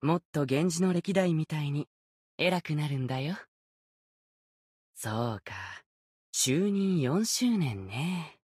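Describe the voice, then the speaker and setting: A man's voice: a little high, lively intonation, slow, long pauses, darkish tone, breathy edge, dry recording, studio quality, heavily acted. A man voicing an easygoing, unfazed ancient sword spirit in youthful male form for a game, with languid, nonchalant phrasing. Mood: mildly encouraging. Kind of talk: Japanese game character voice acting.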